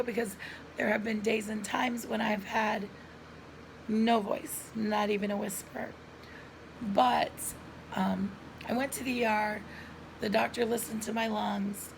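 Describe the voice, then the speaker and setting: A middle-aged woman with a hoarse voice and frequent coughs, telling viewers a personal story in an earnest, chatty way, recorded at home on a camera mic. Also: medium pitch, a little hiss or hum, low-quality recording. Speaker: lifestyle vlogger.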